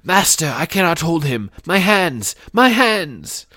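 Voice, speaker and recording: Storybook henchman, acted by a male reader: servile, desperate, strained shouting pitched well above normal talk. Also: sing-song, takes it slowly, dry recording, heavily acted. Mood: panicked, pained. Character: frantic, servile wizard henchman, pained and desperate.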